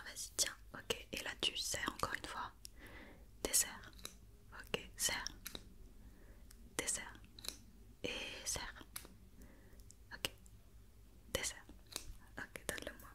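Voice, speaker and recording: Whispering woman in an ASMR roleplay. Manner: soft, reassuring, encouraging, step-by-step instructions. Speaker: ASMR performer playing an occupational doctor examining a robot.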